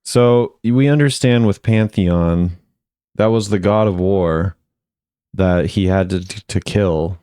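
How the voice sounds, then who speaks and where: A man's voice: deep voice, slow pace, mellow, smooth, no room sound, clean studio sound. A man hosting a video, giving casual commentary as he ranks game voice lines.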